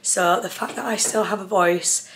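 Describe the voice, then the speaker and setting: A woman's voice: mid-range voice, steady tone, moderate pace, fairly bright tone, slight background noise, rough recording. Chatty young woman vlogging. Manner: chatty, rambling, upbeat. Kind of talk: casual travel vlog to camera. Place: hotel room and outdoor restaurant on a party holiday. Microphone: handheld camera at arm's length.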